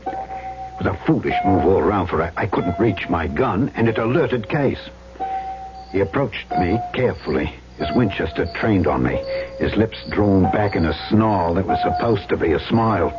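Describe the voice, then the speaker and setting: A man's voice: low-pitched, animated, moderate pace. An actor playing a rugged, defiant trader who tells his island adventure in colloquial, dramatic style in a 1970s radio drama. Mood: grim, defiant. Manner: colloquial, dramatic first-person storytelling. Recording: dull vintage radio broadcast with loud music.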